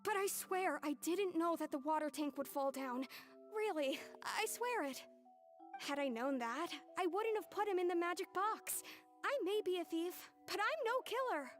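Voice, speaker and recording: A woman's voice: very high-pitched, animated, moderate pace, faint music behind. A woman playing a young female thief in a game, earnestly and defensively confessing in a dramatic anime style. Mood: pleading. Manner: earnest, pleading narration.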